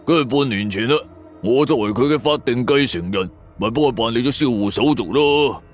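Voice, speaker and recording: A man's voice: medium pitch, a little fast, close to an everyday voice. A man with a Hong Kong Cantonese accent voicing a scornful, resentful wealthy man in an audio drama. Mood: scornful, bitter. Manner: haughty, sneering. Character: scornful, resentful wealthy man, callous about his sister. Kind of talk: audio drama character dialogue. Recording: background music under voice.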